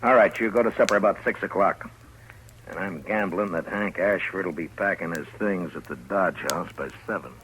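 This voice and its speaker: A man's voice: deep voice, normal speed, lightly acted. An American man playing a stern, dry-witted frontier marshal in a 1950s radio western, speaking tersely and with authority. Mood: matter-of-fact. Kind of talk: 1950s radio drama acting. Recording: noisy vintage 1950s radio recording.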